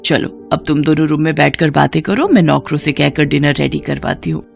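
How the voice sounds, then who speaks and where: A woman's voice: deep voice, fairly quick, creaky, pitched below the performer's own voice. A well-off middle-aged lady of the house, acted by a woman. She is hospitable yet commanding and insistent, in a cartoon family story with music behind. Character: wealthy, hospitable middle-aged mother running servants.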